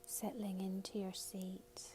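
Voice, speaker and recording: A woman teaching a guided meditation for beginners, speaking softly and breathily in soothing instructions with pauses. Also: mid-range voice, little pitch movement, a little hiss or hum.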